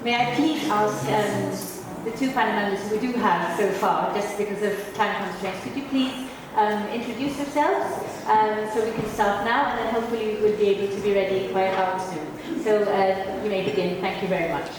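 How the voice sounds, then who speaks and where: A woman making announcements to a conference audience, slowly repeating instructions. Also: mid-range voice, fairly even intonation, noisy background. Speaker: conference organizer. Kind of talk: event announcements. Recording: echoey hall with crowd, distant.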